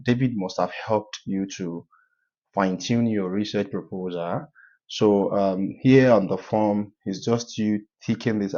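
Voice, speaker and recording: A man walking viewers through a form in a tutorial, giving advice in a halting way with many filler sounds. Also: low voice, a little mellow, muffled recording. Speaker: scholarship advice YouTuber. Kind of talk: screen-share walkthrough tutorial.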